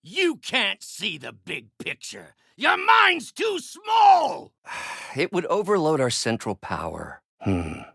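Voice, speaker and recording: A man's voice: mid-range voice, wildly swooping pitch, a little slow, gravelly, no echo, clean studio sound, full character voice. A male actor voicing a brilliant, bitter middle-aged scientist turned vengeful villain in a video game, with articulate, theatrical delivery. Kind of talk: dramatic video game acting, isolated voice lines.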